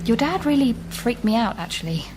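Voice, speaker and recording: A woman's voice: fairly high pitch, lively intonation, fairly quick, natural voice. An actress playing a chatty woman in her thirties from Leeds and Bristol, who rambles and laughs easily, in a radio drama. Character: chatty woman living in London, warm and talkative. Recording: radio drama with background noise.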